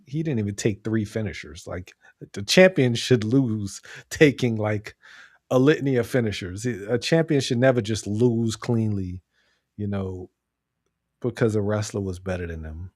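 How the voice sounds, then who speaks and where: Low-pitched man chatting on a podcast. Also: a little slow, no echo, studio quality. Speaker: podcast co-host. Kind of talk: casual chat, pro wrestling commentary.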